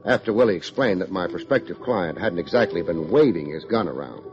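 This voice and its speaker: Wry radio private eye, lively pitch. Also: male voice, brisk. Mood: wry narration. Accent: American. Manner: wisecracking, deadpan. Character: wry, hard-boiled male private detective, unflappable and quick-witted. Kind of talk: 1950s American radio detective acting. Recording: dull lo-fi old radio recording.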